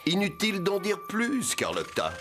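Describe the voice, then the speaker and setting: A sensible cartoon animal with a very animated, singsong intonation. The manner is knowing and gently teasing, with music behind. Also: male voice, high-pitched, moderate pace, heavily acted. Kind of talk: French cartoon dub.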